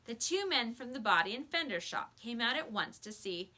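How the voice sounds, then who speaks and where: A woman reading a children's book aloud with expressive storybook narration, like a teacher to a class. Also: fairly high pitch, normal speed.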